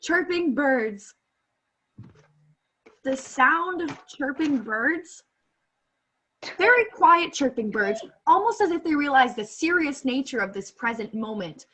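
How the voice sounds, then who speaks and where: A woman's voice: sits high, expressive, slow pace, pauses often, fairly bright tone, full character voice, low-quality recording. A young woman playing an earnest, improvising radio field reporter in a comedic radio play. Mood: earnest, stalling. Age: young adult. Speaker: student actor. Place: small bedroom at home. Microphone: laptop on a video call.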